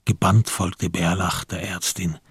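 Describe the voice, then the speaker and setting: Man narrating a radio crime drama, lowish raspy voice, scripted literary style, super dry studio sound. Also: little pitch movement, a little slow, no echo.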